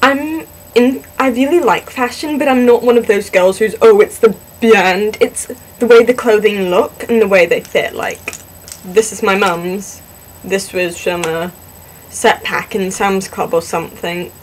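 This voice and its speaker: A young woman, born in Singapore and raised in the southwestern US, casually answering questions about herself to a camera, chatty and self-deprecating with many pauses and ums. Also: medium pitch, a little hiss or hum, low-quality recording. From born in Singapore, grew up in the southwest US. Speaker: vlogger in a fan collab channel.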